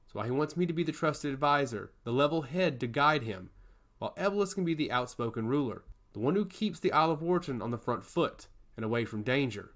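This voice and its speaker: A man's voice: mid-range voice, expressive, fairly quick, a bit bright, natural voice. A man playing a composed young princess in a fantasy audiobook, earnest and reflective. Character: thoughtful, composed young princess, calculating and sincere.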